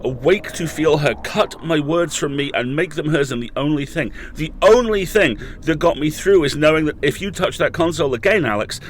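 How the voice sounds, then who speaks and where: A man's voice: fairly high pitch, expressive, moderate pace, few pauses, slight background noise, close to an everyday voice. A man playing an imprisoned podcast host in an audio drama, intense and raging, pleading with his colleagues. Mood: bitter. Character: imprisoned male podcast host, furious and desperate.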